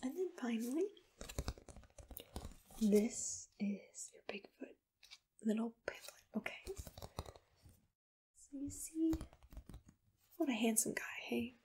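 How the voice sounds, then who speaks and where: Female ASMR roleplayer, higher-pitched breathy whisper, gentle personal-assistant manner, close mic, faint background noise. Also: lively intonation. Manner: gentle, personal, unhurried customer-service address. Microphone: close-up microphone.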